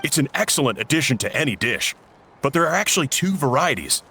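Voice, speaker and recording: An actor playing a genial village cook and uncle in a video game, explaining things a little theatrically. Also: male voice, medium pitch, very animated, fast, sharp tone, a little hiss or hum. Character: genial adult male village cook, uncle, slightly dramatic. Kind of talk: English video game voice acting.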